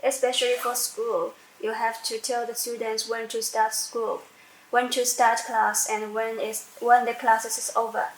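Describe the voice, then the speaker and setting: An echoey recording of a woman with a slightly high voice presenting a software tutorial. She speaks slowly and haltingly, step by step, often repeating a phrase while she finds the next word. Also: flat delivery. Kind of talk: unscripted step-by-step software demonstration. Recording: echoey room with faint background noise.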